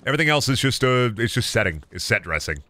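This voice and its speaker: A man's voice: medium pitch, very animated, quick pace, sharp tone. A man in his thirties streaming a game from home on a headset, chatting in a rambling, self-deprecating way and swearing at the game, his voice sick and close to cracking. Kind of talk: casual gameplay stream chat. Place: home room at a desk.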